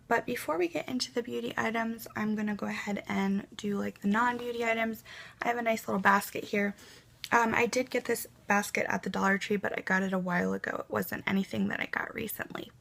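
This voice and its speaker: A young woman talks casually in her bedroom, her voice gravelly and a little froggy from a cold. She sits a touch high for a woman and fills her chat with ums and likes, sometimes dropping to a soft aside. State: slightly hoarse from a cold. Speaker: beauty vlogger. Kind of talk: casual haul chat to camera. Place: bedroom at home.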